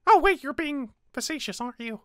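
A woman's voice: high voice, animated, fairly quick, no room sound, studio quality. A woman voicing a small, bitter, foul-mouthed talking flower, numb and angry, in a fan roleplay drama. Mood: defensive, sullen. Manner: sarcastic, cursing, stammering when cornered.

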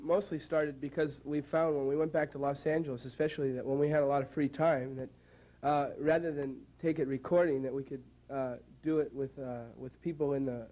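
A man's voice: fairly high pitch, warm tone, low-quality recording. Joking young man on a TV set. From California, USA. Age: 20s. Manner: joking, rambling with fillers. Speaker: band member guest. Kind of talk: casual TV interview banter. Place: TV studio set. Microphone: handheld mic held by host.

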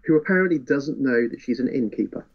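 A man's voice: medium pitch, lively intonation, fast talker. A man in a casual book discussion, telling the story with wry relish. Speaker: podcast co-host. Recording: dull, muffled lo-fi audio.

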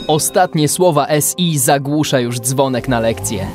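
A man's voice: mid-range voice, lively intonation, unhurried, over loud music. A man narrating a children's radio play in a scripted storytelling style.

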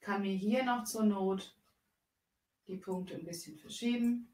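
A woman in her thirties, from Bavaria, chatting her way through a live software tutorial from a craft room at home. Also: medium pitch, unhurried. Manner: chatty, explains steps while working. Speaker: crafting livestream host. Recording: roomy echo, voice a bit far off.

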